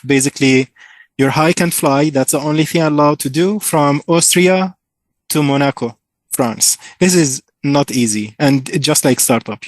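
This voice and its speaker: An eager man in his thirties, raised in Syria with Arabic as his first language, pitches his startup to a conference crowd. His voice sits a little high and sounds close and dry, with little room around it. He talks loosely and keenly, thinking aloud with fillers. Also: no echo. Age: 30s. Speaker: startup founder presenting.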